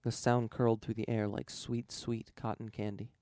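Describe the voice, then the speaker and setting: Guy reading a story, audiobook narration, gravelly fry in his voice, dry recording. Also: fairly low pitch, flat delivery, moderate pace, dark tone, dry recording. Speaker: audiobook reader.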